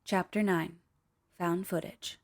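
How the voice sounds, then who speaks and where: A woman's voice: on the low side, fairly even intonation, slow pace. A woman reading scripted title announcements for a radio play as its announcer.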